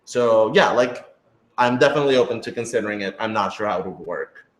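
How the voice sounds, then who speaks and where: A man with a fairly low voice talks through his thoughts in a casual panel discussion. He hedges and peppers his speech with "like". The room adds a clear echo around him. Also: quick pace. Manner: thoughtful, hedging, frequent "like". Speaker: podcast creator and writer in a group Q&A. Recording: roomy, echoey room sound.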